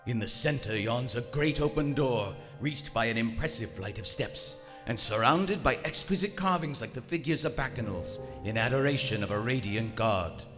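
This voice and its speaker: A man's voice: mid-range voice, animated, moderate pace, hardly any pauses, close to an everyday voice. A man playing a haughty, coldly rational German submarine commander in a live radio drama, with formal, precise, theatrical diction. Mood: grave, measured. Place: on stage in a large historic theater. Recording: live theater hall with reverb, music behind.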